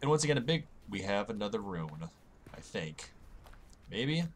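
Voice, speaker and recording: A man with a slightly hoarse voice, rambling and joking at his own expense as he narrates playing a game. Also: fairly low pitch, big pitch swings, normal speed, slight background noise. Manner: rambling, self-mocking, chatty asides. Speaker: gaming channel host. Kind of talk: casual let's-play commentary. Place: home, late at night.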